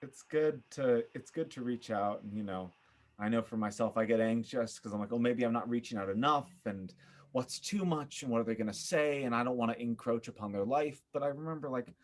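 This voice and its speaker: A man speaking as an interview guest, reflective and earnest, thinking aloud with halting, repeated phrases. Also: medium pitch. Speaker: guest, theater director.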